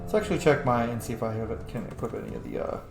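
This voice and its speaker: A man's voice: medium pitch, rapid-fire. A man giving casual let's-play commentary, thinking aloud in an informal, sweary way. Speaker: gaming streamer. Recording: roomy echo, faint game music. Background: game music.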